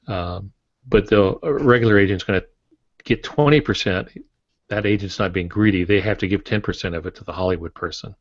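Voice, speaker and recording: An American man in his sixties giving plain-spoken, halting advice in a conversational podcast style on a video call. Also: deep voice. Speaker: guest author and publishing expert. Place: home office, indoors. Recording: dull, roomy video-call audio.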